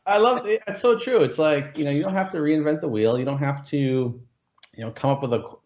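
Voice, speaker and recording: Fast-talking man, roomy lo-fi sound. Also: fairly high pitch, a little mellow. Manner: enthusiastic, filler-heavy ("like", "you know"). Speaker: course creator, interview host. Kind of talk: casual interview over a call.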